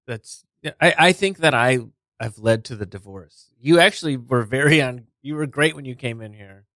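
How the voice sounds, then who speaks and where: Man, soothing voice, bright tone, calm pauses, dry studio. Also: medium pitch, no room sound. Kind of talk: casual improv chat. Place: radio studio.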